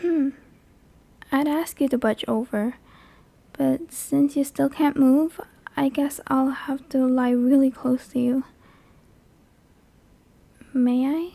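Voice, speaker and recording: A woman voicing a lonely, clingy female sleep paralysis demon in an ASMR roleplay, soft and breathy, hesitant and intimate, often near a whisper, close to the microphone. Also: high voice, steady tone, takes it slowly, long pauses, dry recording, heavily acted. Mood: tentative. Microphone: close microphone, dry.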